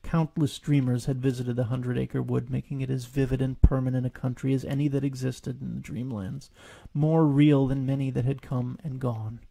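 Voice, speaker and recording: A man narrating his own written story in a scripted storybook style, with a gravelly voice. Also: medium pitch, flat delivery, normal speed, warm tone, no echo.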